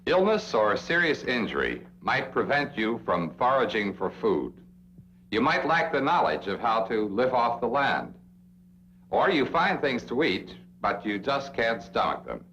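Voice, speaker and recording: A man's voice: mid-range voice, big pitch swings, unhurried, fairly bright tone. An American man narrating a 1960s instructional film, speaking straight to the listener with emphatic phrasing and rhetorical questions. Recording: dull, noisy old film soundtrack with hum.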